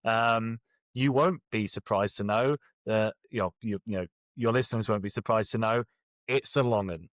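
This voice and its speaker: A man's voice: lowish voice, normal speed, dry recording, clean studio sound. A man with a British accent, speaking as a guest author in a casual interview, jokey, self-deprecating and rambling a little.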